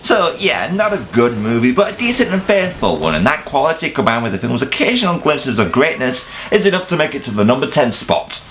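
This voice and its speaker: A man's voice: medium pitch, sing-song, brisk, few pauses. A man hosting a countdown review, delivering semi-scripted commentary in a jokey, casually sweary way. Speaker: video reviewer and host.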